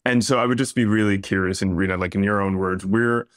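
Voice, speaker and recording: A man hosts a podcast interview with a very deep voice. He asks questions in a thoughtful, curious way, hedging with "I think," and he sounds close and dry. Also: steady tone, quick pace, no room sound. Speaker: podcast host.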